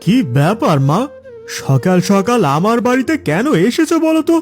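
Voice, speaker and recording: A man's voice: high-pitched, very animated, moderate pace. A man playing an irritable, evasive grown son in a cartoon story, with a complaining delivery. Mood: irritated. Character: neglectful adult son, irritable, evasive, hard-up. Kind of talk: cartoon moral story dialogue. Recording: music under the voice.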